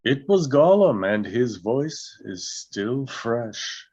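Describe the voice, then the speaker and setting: Man playing a slow, solemn old wizard. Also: mid-range voice, sing-song, a little mellow, slightly rough, lo-fi sound, full character voice. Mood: commanding. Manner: solemn, measured, with pauses. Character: weary, commanding old male wizard, grave and foreboding. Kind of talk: table read of a fantasy film script.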